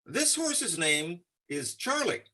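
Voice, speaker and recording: Flustered, polite jockey played by a man, radio comedy, very lively pitch, drawn-out delivery. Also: full character voice. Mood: apologetic. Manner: excitable, apologetic. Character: flustered, polite jockey thrown from his horse. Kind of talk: comic old-time radio play acting.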